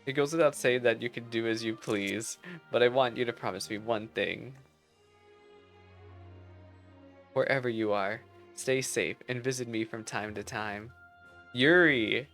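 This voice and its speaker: A man's voice: mid-range voice, moderate pace, a bit bright, faint music behind, lightly acted. A man reading aloud the game lines of an earnest, slightly haughty young female musician and rival. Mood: determined, fond.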